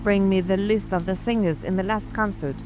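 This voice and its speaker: A woman's voice: medium pitch, fairly even intonation, brisk, over music, lightly acted. A woman playing a haughty, ruthless queen giving orders in a storybook dramatization. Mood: imperious. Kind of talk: dramatized audiobook.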